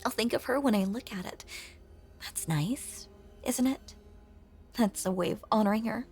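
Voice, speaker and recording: A woman playing a lonely, possessive female ghost in an intimate audio drama monologue, hushed and coaxing, with genteel old-fashioned phrasing and a breathy voice that often sinks toward a whisper. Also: a little high, moderate pace, faint music behind, lightly acted. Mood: sweetly sinister.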